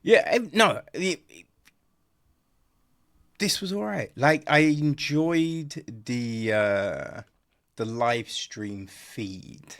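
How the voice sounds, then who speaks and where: Slow-paced, rambling man reviewing films. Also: medium pitch, animated, fairly bright tone. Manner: rambling, repetitive, peppered with "right?" and "you know"; informal, chummy address, mild swearing. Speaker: podcast host reviewing films. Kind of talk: casual unscripted film review monologue.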